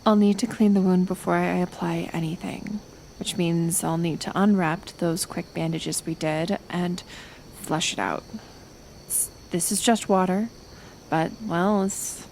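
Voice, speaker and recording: A woman playing a kind, stubborn healer in an intimate ASMR roleplay, speaking in a gentle, halting, soothing way that often slips into whispers. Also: fairly low pitch, fairly even intonation, creaky, a little hiss or hum. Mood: calm, careful.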